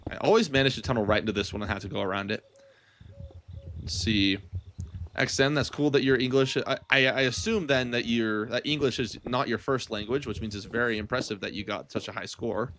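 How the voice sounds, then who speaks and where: Young man, crisp-edged bright voice, casual livestream chat, thinking aloud, light background noise. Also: medium pitch, a little fast. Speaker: livestream gamer host. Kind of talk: casual chat while gaming, answering chat.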